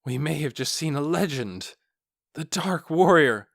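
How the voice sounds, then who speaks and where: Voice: medium pitch, expressive, unhurried, vocal fry, a bit bright, dry recording, clean studio sound, pitched above the performer's own voice, heavily acted. A man voicing an awestruck, shaken town guard in an audiobook, speaking in incredulous questions and exclamations. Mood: amazed.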